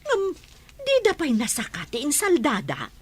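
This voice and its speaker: A woman's voice: high voice, wildly swooping pitch, moderate pace. A woman playing an opinionated village gossip in a radio comedy drama.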